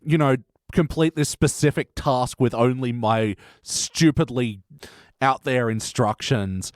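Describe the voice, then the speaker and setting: A man's voice: mid-range voice, slow, no room sound, studio quality. A man co-hosting a podcast, giving opinions in a casual, wry and sweary way. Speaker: podcast co-host. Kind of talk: casual chat recapping a TV show.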